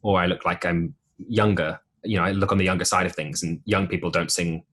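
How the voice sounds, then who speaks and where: A young man with a deep voice telling stories in a casual podcast interview, with plenty of ums and restarts. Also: brisk, slightly rough. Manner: relaxed storytelling with many ums and restarts. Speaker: podcast guest, musical theatre performer.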